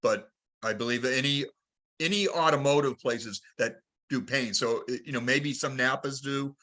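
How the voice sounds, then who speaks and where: A man hosting a club conference call, talking casually and haltingly, often restarting his sentences. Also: medium pitch, bright, forceful tone. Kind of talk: casual group discussion.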